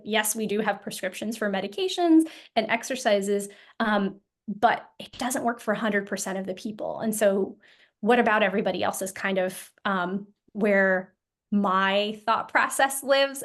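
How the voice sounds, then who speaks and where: Thoughtful woman, halting with many ums. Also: medium pitch. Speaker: guest, founder of an occupational therapy group. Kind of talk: casual interview talk.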